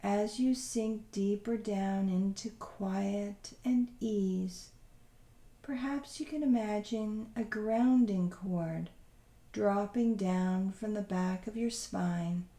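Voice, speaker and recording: A woman leading a guided visualization meditation in a soothing, unhurried, gently instructing way. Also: medium pitch, roomy sound.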